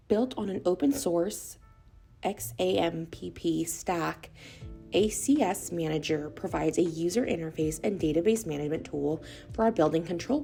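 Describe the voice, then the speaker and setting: A woman reading a scripted corporate explainer in a measured, instructional way. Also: lowish voice, gravelly, darkish tone, breathy edge. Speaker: narrator of a corporate product video.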